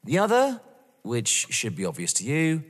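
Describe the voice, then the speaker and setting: Casting: male actor as vengeful, taunting young man, audio drama. Mocking, highly animated intonation, mid pitch, reverberant sound. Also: moderate pace. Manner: mocking, theatrical, toying. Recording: long reverb.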